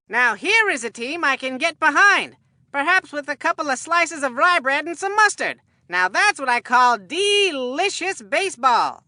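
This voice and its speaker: A woman voicing an excitable, food-loving boy baseball commentator in a cartoon game, with a folksy, earnest showman delivery. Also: high voice, dry recording, exaggerated voice.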